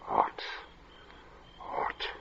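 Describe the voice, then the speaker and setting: A man acting as a heat-worn, chatty working-class gravestone carver in a 1940s-style radio drama, with folksy, unhurried talk. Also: a bit bright, a little hiss or hum. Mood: overheated, oppressed. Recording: muffled old radio drama recording.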